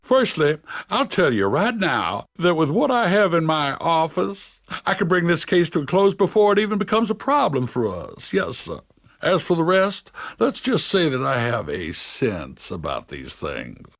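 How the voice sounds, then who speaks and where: An actor playing a pompous, scheming lawyer villain with a Southern US accent in an animated game cutscene. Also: male voice, fairly high pitch, dry recording, studio quality, full character voice. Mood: conniving. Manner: pompous, drawn-out, folksy.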